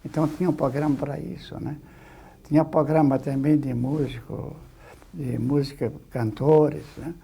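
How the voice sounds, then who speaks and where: An older man with a Brazilian accent reminiscing haltingly in an interview, often adding short tag questions, his voice husky and gravelly. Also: a little high, steady tone, dark tone.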